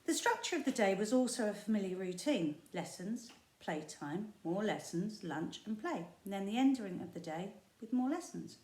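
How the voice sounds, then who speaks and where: A woman teacher reads a chapter book aloud at an even, middling pitch, bringing the story to life with expressive storytelling. Her voice carries a roomy echo around it, with small stumbles now and then. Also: normal speed, few pauses. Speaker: primary school teacher. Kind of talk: reading a children's book aloud. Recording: echoey room.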